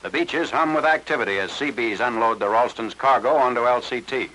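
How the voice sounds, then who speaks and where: A man's voice: mid-range voice, a little fast, bright, forceful tone. An American man reads scripted narration as a 1940s newsreel announcer, in a formal, clipped military delivery. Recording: noisy 1940s newsreel film soundtrack.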